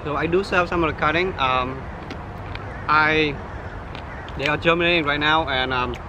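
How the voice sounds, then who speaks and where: A man's voice: a little high, moderate pace. A man in his thirties, a home grower explaining the steps plainly in a yard, recorded outdoors. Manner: plain, step-by-step explaining with fillers. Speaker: guest pomegranate grower. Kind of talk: casual explaining. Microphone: camera held by another person a few feet away. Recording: outdoor recording with traffic noise.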